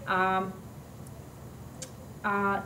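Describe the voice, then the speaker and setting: A woman's voice: medium pitch, completely flat delivery, very slow, sharp tone, a little hiss or hum. Hesitant woman doctor, echoey distant mic. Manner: hesitant, many uh fillers, careful phrasing. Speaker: stroke doctor, guest. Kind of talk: interview answers.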